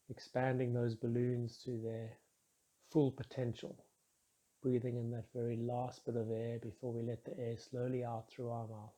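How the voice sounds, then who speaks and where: Male meditation guide, mellow and breathy-husky, measured, soothing delivery. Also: medium pitch, low-quality recording. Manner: calm, soothing, measured instruction with soft phrase endings.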